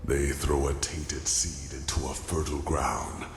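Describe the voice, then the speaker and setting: A man playing an ancient, weary sage in a fantasy game, speaking gravely and deliberately in a gravelly voice. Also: a bass voice, level tone. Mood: grave, resigned. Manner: grave, slow, deliberate, brooding. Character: ancient weary male sage revealing bleak cosmic truths. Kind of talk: solemn dramatic game monologue. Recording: echoey voice over clear background music.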